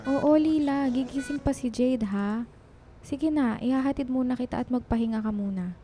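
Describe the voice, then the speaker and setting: A woman playing a caring, supportive young friend in a radio drama, speaking in a soft, reassuring way. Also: sits high, normal speed, faint music behind, lightly acted. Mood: gentle, consoling.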